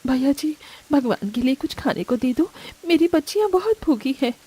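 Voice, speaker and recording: Woman playing a pleading, desperate poor mother, high voice. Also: lively intonation, moderate pace, warm tone. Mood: begging. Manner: pleading, plaintive. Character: poor, desperate, loving mother of young daughters. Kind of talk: melodramatic Hindi animated moral story.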